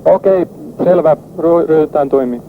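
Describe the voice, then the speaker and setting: A man, a ship's officer, answers a distress call in a maritime exchange over VHF radio. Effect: VHF marine radio. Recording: noisy, narrow-band 1990s marine radio. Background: loud radio static and rumble.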